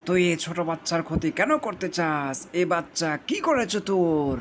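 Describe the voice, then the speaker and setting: A man's voice: a little high, expressive, moderate pace, lightly acted. A man playing a stern, boastful village exorcist with a rural Bangladeshi accent, speaking in a portentous, incantatory way in an amateur skit. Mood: threatening, stern. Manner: incantatory, portentous, repeats phrases. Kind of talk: amateur horror-comedy skit acting. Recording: roomy, distant from mic, faint noise.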